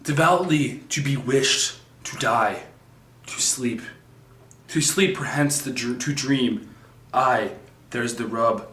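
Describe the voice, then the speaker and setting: Man reciting as a brooding prince, slow and echoey. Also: medium pitch, fairly bright tone. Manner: halting, stumbling recitation. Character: brooding young tragic prince, contemplative and despairing. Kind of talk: halting recited Shakespeare soliloquy. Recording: echoey room.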